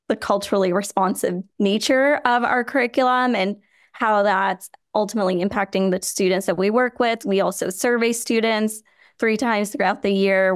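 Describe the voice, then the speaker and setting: Earnest woman founder, smooth clear voice. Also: mid-range voice, dry recording, clean studio sound. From Chicago, USA. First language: Hindi and Gujarati. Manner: earnest, steady, with frequent "you know" fillers. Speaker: nonprofit founder, guest. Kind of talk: podcast interview answer.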